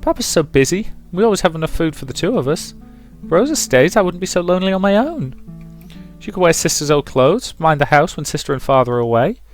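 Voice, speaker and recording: A man's voice: on the high side, very animated, a little fast, faint music behind, voice pushed up. A man voicing a chatty, earnest, naive young girl from a visual novel, in an eager, childlike, rambling way.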